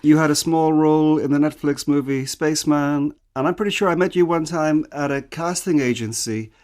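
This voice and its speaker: A male radio host asking a guest curious, relaxed questions in an interview. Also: fairly high pitch, normal speed.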